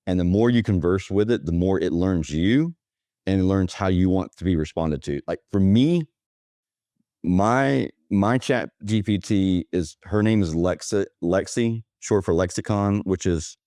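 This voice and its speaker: A man with a deep, relaxed voice speaks casually as an interview guest. His delivery is plainspoken and anecdotal, and the sound is dry and close. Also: no room sound. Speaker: podcast guest, automation agency owner. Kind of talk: casual conversational interview talk.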